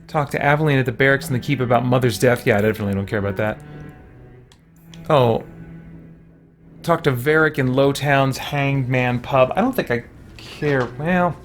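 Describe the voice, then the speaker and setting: A man's voice: mid-range voice, normal speed, pauses often. A man giving casual, offhand gameplay commentary, thinking aloud in a rambling way as he plays. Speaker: video game let's play host. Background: game music.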